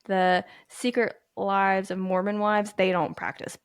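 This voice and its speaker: A woman's voice: mid-range voice, level tone, measured pace, clean tone, no echo, studio quality. A woman co-hosting a podcast, chatting casually in a candid, earnest and musing way. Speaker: podcast co-host. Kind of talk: casual chat.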